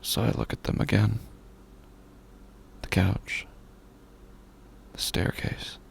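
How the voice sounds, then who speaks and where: A deeply creaky, low-voiced grieving man, alone and unhinged, played by a male actor in a horror audio drama. He speaks very slowly and haltingly, often slipping into a breathy whisper, circling back over his own phrases. Faint noise and ambience sit behind him. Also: little pitch movement, full character voice. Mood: hushed, numb. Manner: halting, repetitive, slow brooding delivery. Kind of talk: first-person horror audio drama monologue.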